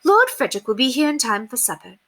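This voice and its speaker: A woman's voice: high-pitched, sing-song, quick pace, a bit bright, pitched above the performer's own voice, heavily acted. A woman voicing a spoiled lady with exaggerated merriness, as if holding back tears. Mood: forced cheer.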